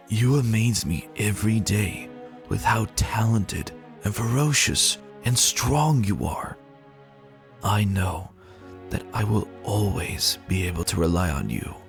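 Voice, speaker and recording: A lovestruck young stallion, acted out by a man speaks in a low, unhurried voice. He is earnest and tender, pausing for weight as in a romantic audio drama, with soft music underneath. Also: gravelly, exaggerated voice. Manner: earnest, heartfelt, with dramatic pauses. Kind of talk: dramatic fan fiction reading.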